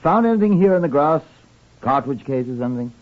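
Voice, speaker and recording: An actor with a British accent playing a brisk detective superintendent, terse, inquisitive and in command, in an old radio drama. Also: male voice, medium pitch, lively intonation, phone-quality sound, natural voice. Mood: probing. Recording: thin, band-limited old radio recording.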